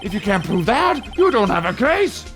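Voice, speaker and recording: A man playing an indignant, sneering male witness in a comic game reading, loud and taunting. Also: high-pitched, sing-song, moderate pace, soft background music. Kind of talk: comic amateur video game dramatic reading.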